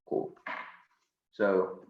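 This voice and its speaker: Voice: drawn-out words, reverberant, narrow, tinny audio. A teenage debater asking questions in crossfire, casual with many fillers. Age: teens. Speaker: high school debater.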